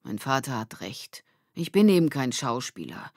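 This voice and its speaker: A woman voicing an insecure, frustrated boy with stage fright, halting and emphatic, in a children's audiobook. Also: a bass voice, sing-song, darkish tone, no room sound, studio quality, exaggerated voice. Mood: dejected.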